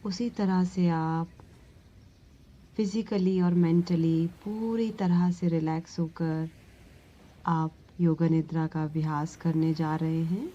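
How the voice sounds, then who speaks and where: Female meditation guide. Very slow, soothing, mellow delivery with long pauses; slightly muffled recording. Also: deep voice, steady tone. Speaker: yoga nidra meditation instructor.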